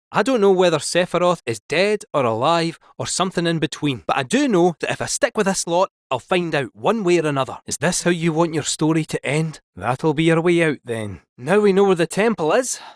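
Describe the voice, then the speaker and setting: A man's voice: sing-song, a little fast, no echo, studio quality. A man voicing a chatty, scheming male robot cat in a game, with a Scottish accent and a jaunty, theatrical delivery. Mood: earnest. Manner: jaunty, theatrical, swooping pitch. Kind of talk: video game voice acting.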